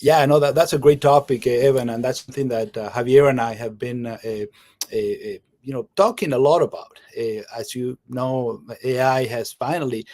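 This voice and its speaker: A middle-aged surgeon from Venezuela talks on a video call with a mellow, relaxed timbre. The sound is dry and close, with little room tone. He stops often on fillers and repeats words as he looks for the next thought. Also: medium pitch, moderate pace, dry recording. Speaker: general surgeon, guest. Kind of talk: casual video call interview.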